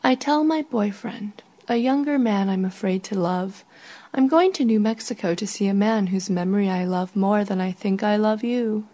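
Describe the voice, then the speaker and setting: A woman reading her own literary short story in a breathy voice that often sinks toward a whisper. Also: medium pitch, normal speed, warm tone. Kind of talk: literary first-person story narration.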